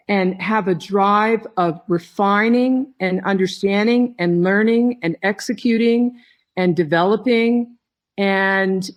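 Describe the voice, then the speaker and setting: A woman giving a reflective interview as a guest, speaking in a halting, thoughtful way with phrases broken by pauses. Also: mid-range voice. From not originally from Hawaii, long resident there. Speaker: podcast guest, tea grower and company head.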